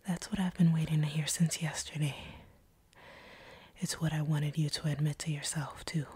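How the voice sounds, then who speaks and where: A woman's voice: low-pitched, mellow, breathy edge, heavily acted. A woman voicing a seductive, sadistic vampire in intimate roleplay audio, whispering breathily in a teasing, commanding way. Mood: possessive, tender. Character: seductive, sadistic vampire woman taunting her captive hunter.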